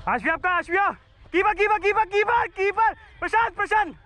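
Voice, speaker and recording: A man's voice: extremely high pitch, moderate pace. A young man playing cricket, calling out encouragement to teammates on an outdoor ground, recorded on a body-worn camera. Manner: loud encouraging calls to teammates. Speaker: cricket player filming from the field. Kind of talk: shouted on-field cricket chatter. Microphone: body-worn action camera.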